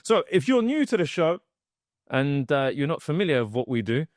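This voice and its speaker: A man's voice: fairly high pitch, very animated, moderate pace, no echo, clean studio sound. A man hosting a podcast interview, enthusiastic and full of fillers. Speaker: podcast host.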